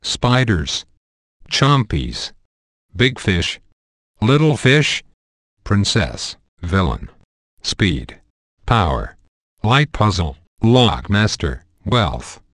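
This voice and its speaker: A lowish, animated fantasy game announcer. He delivers dramatic single-word callouts very slowly, with a dry sound. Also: no echo, heavily acted.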